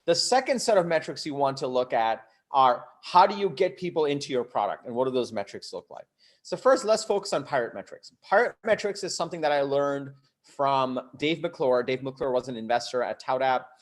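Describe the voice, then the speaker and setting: A man's voice: medium pitch, animated, moderate pace. A man from Bangladesh and the US giving a live online coaching talk, conversational and emphatic, often checking in with rhetorical questions. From Bangladesh until ten, then the US. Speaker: host, startup coach.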